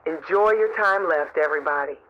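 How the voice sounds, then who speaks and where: A woman playing a brash, soft-hearted citizen reporter in a radio drama, blunt and folksy, heard through a radio filter. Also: deep voice, a little fast. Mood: brash, wry. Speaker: caller. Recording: muffled, noisy radio call-in line.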